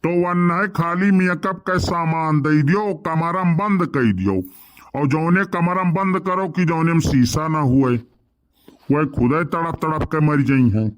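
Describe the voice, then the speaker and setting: A man's voice: a little high, measured pace, close to an everyday voice. A man voicing a gossipy, mischievous village man in a comedy cartoon, speaking with an Awadhi accent from eastern Uttar Pradesh. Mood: wry storytelling.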